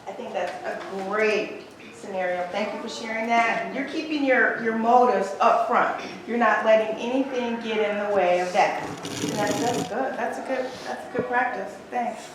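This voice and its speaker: A woman with a slightly high voice leads a group discussion, affirming and thanking each person who speaks. She sits well back from the mic in a roomy, echoing room. A light hiss runs underneath. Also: normal speed. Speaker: workshop facilitator. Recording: echoey room, from far off, background noise.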